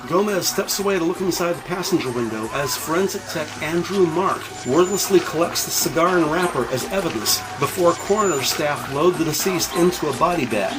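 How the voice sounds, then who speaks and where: A man's voice: a little high, poor audio. A man narrating an audio crime drama from a script, describing the action in the present tense.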